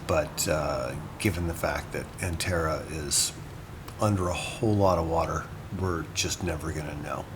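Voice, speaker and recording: An actor playing an earnest academic lecturer on ancient literature, speaking informally in a halting, thoughtful way. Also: male voice, rumbling low, fairly bright tone, a little hiss or hum. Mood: musing, earnest. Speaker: lecturer and podcast host.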